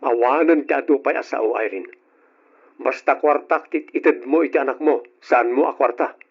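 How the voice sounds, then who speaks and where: An actor with an Ilocano accent playing a domineering, tight-fisted but doting husband in an old radio drama. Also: male voice, medium pitch, normal speed, breathy edge, natural voice. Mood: stern, irritated. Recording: dull, lo-fi old radio recording.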